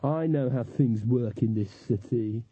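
A man's voice: mid-range voice, animated, moderate pace, dark tone, slightly rough. A man voicing a resigned, bitter young woman who speaks in a guarded way, in an audiobook story. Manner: gloomy, guarded. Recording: echoey, narrow phone-like audio.

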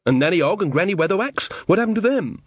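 Man voicing a brisk, insistent woman, raised pitch. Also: expressive, dry recording. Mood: urgent, insistent. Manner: pointed, insistent questioning. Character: Magrat, brisk impatient young woman questioning villagers.

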